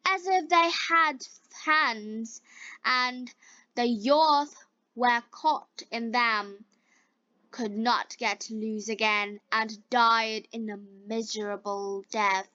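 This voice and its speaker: A woman narrates a fairy tale at an extremely slow pace, pausing between short, deliberate phrases. Her voice is fairly high and clearly bright, as if pitched for learners following along. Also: dry recording, dull audio. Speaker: storyteller for English learners.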